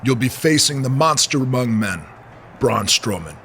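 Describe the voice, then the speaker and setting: An actor playing an irritated, menacing wrestling boss in a video game cutscene, speaking curtly. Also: male voice, medium pitch, lively intonation, normal speed, a bit bright, slight background noise. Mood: stern, irritated. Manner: curt, threatening.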